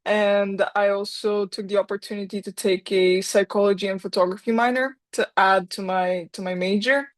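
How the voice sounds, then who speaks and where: A woman's voice: mid-range voice, steady tone, moderate pace, few pauses. Chatty young woman from Bulgaria. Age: young adult. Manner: chatty, loses her words now and then. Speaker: student speaker. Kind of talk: informational talk at a university event.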